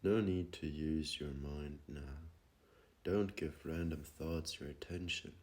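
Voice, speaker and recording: A man with an extremely low voice guides a meditation. He speaks slowly and gently, leaving plenty of pauses between his phrases. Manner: gentle, unhurried, with long pauses.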